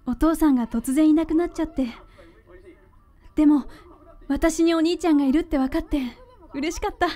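Actress doing sweet young woman in a radio drama, super high pitched, affectionate lil sister. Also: steady tone, fairly quick, long pauses, dark tone, lightly acted. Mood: tender, grateful. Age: young adult.

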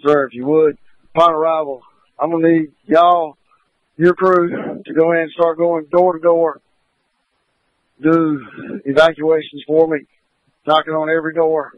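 A man speaks as an incident commander on a fire radio channel, his voice fairly high. He gives polite, halting directions. The sound is very narrow and phone-like, with little room around it. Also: level tone, dry recording. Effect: two-way radio. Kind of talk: radio traffic at a fire scene.

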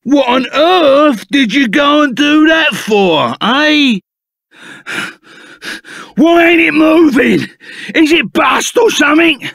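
A man voicing a big, gruff, good-hearted bandit sidekick in a video game, with a gravelly Cockney accent and a blunt, chummy way of talking. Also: very high voice, lively intonation, slow pace, long pauses, fairly bright tone, no echo, shouting, clean studio sound, heavily acted. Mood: agitated, loud.

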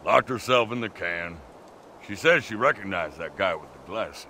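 An actor voicing a rowdy, folksy old biker in his sixties, gruff and gravelly with an American accent, in a video game. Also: deep voice, animated, moderate pace, pushed and bright, slight background noise, exaggerated voice. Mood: gruff, matter-of-fact. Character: wild, gruff old biker, crazed but friendly. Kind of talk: video game cutscene acting.

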